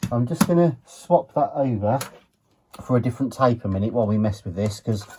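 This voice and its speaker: A man giving an unhurried, low-key, matter-of-fact commentary as he works on a gadget, muttering to himself at times. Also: lively intonation, mellow, a little hiss or hum. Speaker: retro electronics hobbyist presenter. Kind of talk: casual hands-on teardown commentary.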